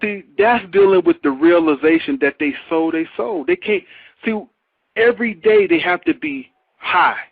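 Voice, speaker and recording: A man with a raspy, creaky voice talking casually and emphatically in a colloquial way. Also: high voice, huge pitch swings, moderate pace, no room sound. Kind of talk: casual interview talk. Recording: narrow phone-like dry audio.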